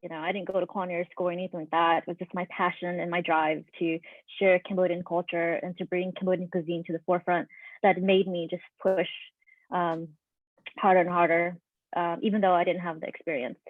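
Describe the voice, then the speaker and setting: Woman chef on a panel, earnest and pausing, lowish voice, thin phone-like audio. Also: level tone, no echo. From United States. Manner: reflective, earnest, frequent ums. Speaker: restaurant owner and chef. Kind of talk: panel discussion storytelling. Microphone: video call. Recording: dry, phone-like video call audio.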